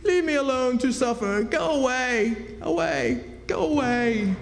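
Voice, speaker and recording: A man's voice: extremely high pitch, unhurried, slight background noise. A man playing a theatrical, self-pitying con man who poses as a duke, speaking in florid declamation in a stage radio drama. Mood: wounded, melodramatic. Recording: echoey hall stage performance, music behind.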